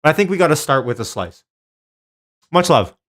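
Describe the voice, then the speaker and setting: A man's voice: on the high side, big pitch swings, normal speed, a bit bright. A man hosting a live stream, chatting informally with viewers by name and giving casual commentary.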